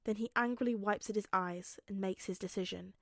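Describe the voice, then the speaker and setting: A woman reading fiction aloud as narrator, expressive and dramatic, with emphatic pauses. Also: medium pitch, vocal fry, no room sound, clean studio sound. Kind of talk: expressive scripted story narration.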